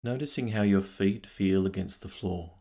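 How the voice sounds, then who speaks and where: A man leading a guided meditation, speaking calmly and gently in unhurried phrases with long pauses. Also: deep voice. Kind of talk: guided meditation, scripted narration.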